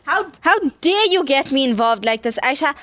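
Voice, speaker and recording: A woman's voice: high-pitched, lively intonation, normal speed, a bit bright, slight background noise. A woman playing a teenage girl who angrily turns on the friend who got her into trouble. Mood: indignant. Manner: indignant, hurt. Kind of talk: audio drama acting.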